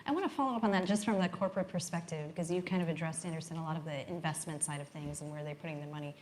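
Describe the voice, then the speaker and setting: Woman running a panel, low-ish voice, calm long questions, a bit of hiss. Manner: measured, asks long framing questions. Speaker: moderator. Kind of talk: panel discussion.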